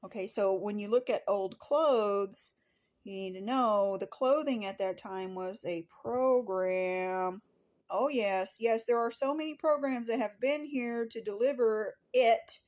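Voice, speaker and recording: A woman's voice: medium pitch, measured pace, a little mellow. A woman talking casually and earnestly to her viewers as a spiritual vlogger, stating things declaratively and repeating phrases for emphasis. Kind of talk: casual spoken monologue to viewers.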